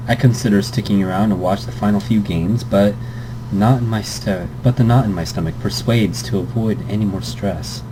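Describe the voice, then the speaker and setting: A man's voice: lowish voice, normal speed, noisy background. A young man hosting a let's play, reading a visual novel aloud with joking asides and fillers.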